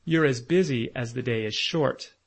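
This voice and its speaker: A man voicing a gentle, motherly little girl who speaks softly and earnestly. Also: mid-range voice, normal speed, natural voice. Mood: eager.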